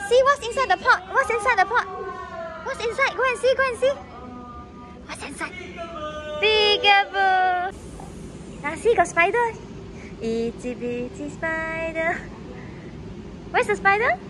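A woman with a Singaporean English accent cheers on her little boy at an indoor toddler gym, her voice very high and her pace slow and drawn out. She coaxes and laughs as she films on her phone. Loud music and a busy crowd fill the room behind her. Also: lively intonation, very noisy. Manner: cheering, coaxing, frequent laughter. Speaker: parent filming her toddler. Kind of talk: casual encouragement to a child. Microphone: phone camera held by the filmer.

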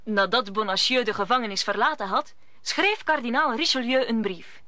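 A woman's voice: on the high side, normal speed, pushed and bright, dry recording. A woman narrating a radio play in a scripted storybook style.

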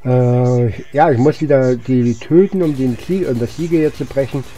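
A man's voice: medium pitch, moderate pace, mellow. A man doing casual gameplay commentary, muttering short remarks in a voice weakened by coughing. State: weak voice from coughing. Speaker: gaming video host. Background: game music.

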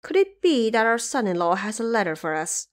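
A woman voicing a gentle older wife of a minister, speaking with concern, in an audio drama. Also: on the high side, very animated, fairly quick.

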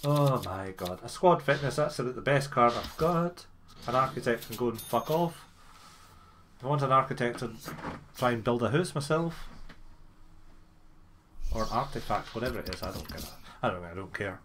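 A man's voice: mid-range voice, animated, normal speed, pauses often, fairly bright tone. Grumbling male gamer, noisy recording. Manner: sweary, grumbling, sarcastic. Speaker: gamer making a video. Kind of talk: casual gameplay commentary.

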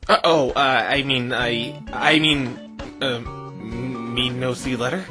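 A woman's voice: very deep voice, steady tone, slow pace, over music. A woman voicing an earnest, easily flustered young male defense lawyer in a visual novel dub, stammering at times. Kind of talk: visual novel fandub acting.

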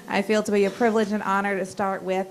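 A woman school administrator at a board meeting, speaking in a loud voice and reading prepared remarks in a friendly way. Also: mid-range voice, little pitch movement, fairly quick, slight background noise, rough recording.

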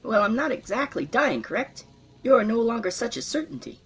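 A man voicing an excitable dying old philosopher who argues eagerly in a high, lively voice. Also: fairly quick, slightly rough, faint music behind, full character voice. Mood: excited.

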